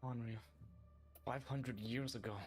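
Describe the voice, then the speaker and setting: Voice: medium pitch, fairly even intonation, measured pace. A gamer giving casual playthrough commentary in short, offhand reactions to the story. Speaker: gamer reacting while playing. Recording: faint game music underneath. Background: game music.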